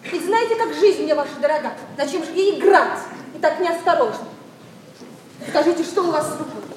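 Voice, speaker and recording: Proud, impulsive young lady in love; very high voice, emotional verse delivery, roomy distant sound. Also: animated, brisk. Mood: anxious, defiant. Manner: emotional, theatrical, openly confiding. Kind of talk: classic Russian stage verse, radio play acting. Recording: roomy distant radio play, faint noise.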